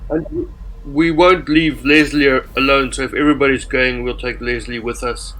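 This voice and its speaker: A man's voice: mid-range voice, steady tone, moderate pace, fairly bright tone, low-quality recording. A man chatting casually as a player in a tabletop game.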